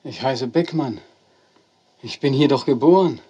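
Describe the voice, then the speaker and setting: An actor playing a bewildered homecomer in an old German radio drama, pleading and disbelieving. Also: male voice, medium pitch, measured pace, heavy background noise, close to an everyday voice. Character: bewildered homecomer searching for his lost parents. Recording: dull, lo-fi archival radio play.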